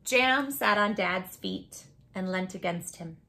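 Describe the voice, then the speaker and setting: A woman's voice: medium pitch, takes it slowly, gravelly, sharp tone. A woman hosting a children's read-aloud, narrating a storybook expressively and putting questions to her young listeners.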